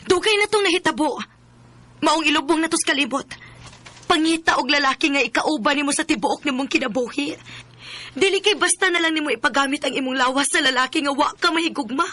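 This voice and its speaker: A bright, high-pitched older sister. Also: female voice, brisk. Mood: earnest advice. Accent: Bisaya (Cebuano) Filipino. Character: worldly, frank older sister, outgoing and teasing. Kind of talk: Filipino radio drama acting.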